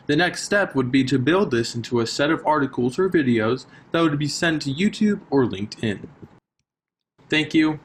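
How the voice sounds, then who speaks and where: A man delivers a prepared presentation in a steady, formal way, a little stiff, like reading from notes. His voice sits at an ordinary middle pitch. Faint background noise runs under the video call audio.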